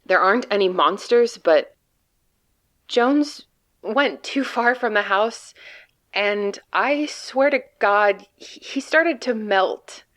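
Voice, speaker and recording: A woman's voice: mid-range voice, expressive, slow pace, a bit bright. A woman playing a jaded, doomed field agent in a horror audio drama, blunt, bitter and sardonic. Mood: uneasy, weary.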